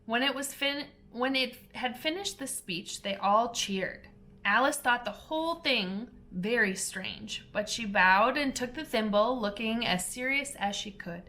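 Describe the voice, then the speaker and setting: Woman narrator, children's storybook, expressive, unhurried pace, bright tone. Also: fairly high pitch. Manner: deliberate, expressive. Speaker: children's audiobook reader.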